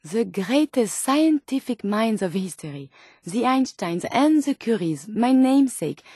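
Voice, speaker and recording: Female robot companion, French accent, high voice, earnest, curious, formal and precise, video game dialogue, dry close sound. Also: sing-song, a little slow, mellow, slightly rough, dry recording, exaggerated voice. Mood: flustered, emotional. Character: earnest, curious, polite female robot scientist turned synth.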